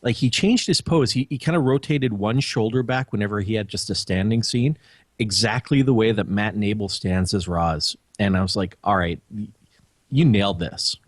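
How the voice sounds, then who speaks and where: Low, slightly husky man podcasting. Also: moderate pace, dry recording, clean studio sound. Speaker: podcast co-host. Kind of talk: casual TV recap chat.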